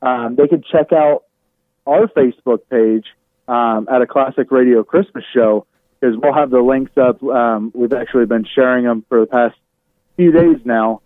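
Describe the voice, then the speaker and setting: A man's voice: medium pitch, normal speed, no room sound. A man who hosts online radio, chatting casually as an interview guest over a remote call. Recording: muffled narrow-band remote call sound.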